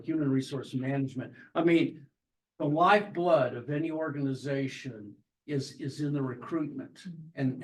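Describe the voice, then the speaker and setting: A man's voice: mid-range voice, a little mellow, low-quality recording. A middle-aged man, a marketing professor, chatting with students in a classroom in an encouraging way and often restarting his sentences. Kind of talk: classroom introductions.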